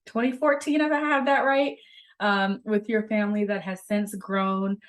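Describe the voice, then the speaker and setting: A woman's voice: medium pitch, fairly even intonation, moderate pace. A woman hosting a podcast interview, enthusiastic and chatty, with many fillers. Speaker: podcast host.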